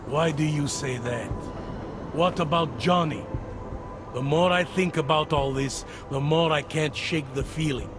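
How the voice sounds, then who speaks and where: An actor playing a gruff, skeptical police detective in a video game, blunt and measured as he questions things. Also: fairly high pitch, music in the background, lightly acted. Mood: skeptical, probing. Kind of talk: video game cutscene acting.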